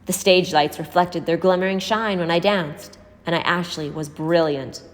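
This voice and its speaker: A woman voicing a gentle, wistful, motherly woman who cares for street children in a storybook. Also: on the low side, fairly even intonation, moderate pace, natural voice. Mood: wistful, fond. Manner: reminiscing, fond, motherly. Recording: echoey hall-like reverb.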